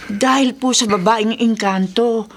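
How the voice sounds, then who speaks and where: A woman's voice: fairly high pitch, a little slow, slight background noise. A woman with a Filipino accent playing a deferential, superstitious housemaid in a radio drama. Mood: ominous, insistent. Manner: respectful, insistent storytelling. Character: superstitious, deferential neighborhood housemaid, a mother, earnest gossip.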